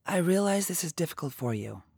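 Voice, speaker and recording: Woman playing a persistent husky-voiced female agent. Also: lowish voice, very animated, fairly quick, mellow, dry recording, studio quality, voice pushed up, full character voice. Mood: professional, probing. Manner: probing, controlled, insistent.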